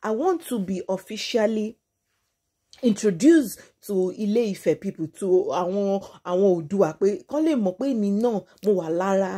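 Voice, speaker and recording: A woman's voice: mid-range voice, expressive, moderate pace, dark tone, husky. A woman with a Nigerian accent giving informal celebrity gossip commentary as an online channel host, mixing English and Yoruba. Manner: switches between English and Yoruba.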